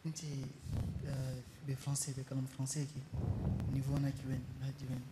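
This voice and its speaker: A man working as a court interpreter, talking in a rapid, hurried way and repeating phrases. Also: mid-range voice, monotone, background noise. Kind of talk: consecutive interpreting.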